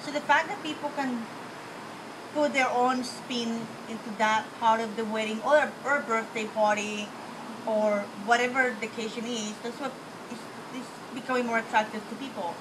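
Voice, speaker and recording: Higher-voiced Dominican-accented woman, noisy room. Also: fairly even intonation, moderate pace. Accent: Dominican Spanish. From Dominican Republic. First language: Spanish. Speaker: interview guest, small business owner. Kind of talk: casual conversational interview answers.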